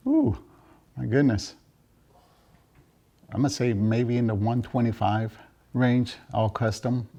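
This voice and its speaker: A man from Mexico giving casual interview answers about his trade, often halting as he searches for words. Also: medium pitch, animated, dark tone, highs cut off. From Juarez, Mexico. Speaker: interviewed draft beer system installer and business owner.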